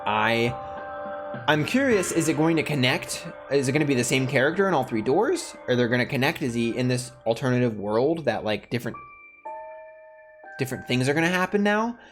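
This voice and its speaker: A man's voice: medium pitch, lively intonation, brisk. Man, gaming host, mid-range voice, reading story text aloud, casual commentary, music underneath.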